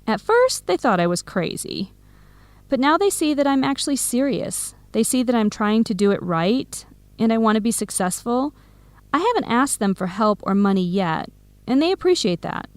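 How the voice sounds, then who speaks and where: An actress playing an outspoken, protective young best friend in an educational radio drama, speaking frankly and emphatically. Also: female voice, on the high side, sing-song, moderate pace, no room sound. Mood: upbeat, earnest. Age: young adult.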